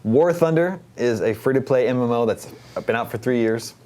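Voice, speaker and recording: A man's voice: medium pitch, moderate pace, warm tone. A man talking casually about video games as a panel show host. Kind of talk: casual video game discussion.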